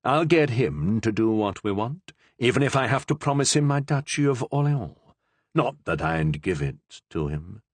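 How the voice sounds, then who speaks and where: A man's voice: mid-range voice, animated, normal speed, no echo, close to an everyday voice. A man doing a sly, scheming prince.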